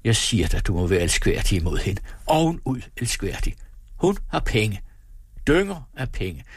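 A miserly, blustering husband from a storybook, acted out by a man. The voice is husky and very animated, swinging widely in pitch. He complains grandly and theatrically, full of self-pity and temper, in a dry, close recording. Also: no echo, exaggerated voice. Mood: gushing, excited. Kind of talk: dramatised storybook reading.

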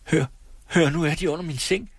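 A man voicing a frightened woman patient who pleads in hoarse, desperate whispers, his voice raised well above his own. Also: on the high side, dry recording, studio quality, pitched above the performer's own voice. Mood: terrified pleading.

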